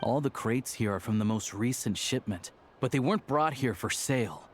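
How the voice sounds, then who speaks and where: An actor playing a cool, menacing investigator in a video game, questioning in a deliberate, probing way. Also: male voice, medium pitch, a little hiss or hum, natural voice. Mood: cool accusation.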